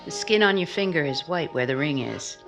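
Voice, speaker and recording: A woman's voice: low voice, lively intonation, brisk, over music. A woman acting in 1950s-style radio drama as a worldly, forward single woman at a bar, teasing and direct.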